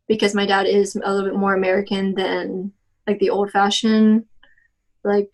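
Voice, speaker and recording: A woman's voice: mid-range voice, poor audio. A young woman in her twenties from Texas, answering oral history interview questions casually with many "like" and "um" fillers and restarts. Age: 20s.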